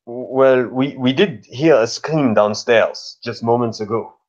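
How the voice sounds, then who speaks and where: A man's voice: mid-range voice, a little slow, a little mellow. A man improvising as a player in a tabletop role-playing game, speaking in a hesitant, politely formal way. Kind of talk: improvised roleplay narration. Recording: dull lo-fi microphone sound.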